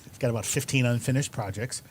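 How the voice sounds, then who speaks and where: A man's voice: mid-range voice, fairly even intonation, fast talker, very noisy. A middle-aged man chatting casually as a guest writer, with a dry, self-deprecating manner. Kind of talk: casual chat.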